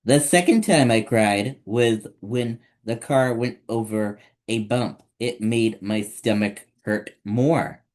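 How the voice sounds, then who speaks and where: Children's storytime reader: man, low register, unhurried delivery, read-aloud picture book narration.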